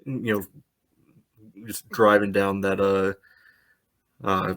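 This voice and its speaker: A man co-hosting a casual sports podcast, dry and wry, reeling off stats at a steady clip. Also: low-pitched, extremely slow, poor audio. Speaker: podcast co-host.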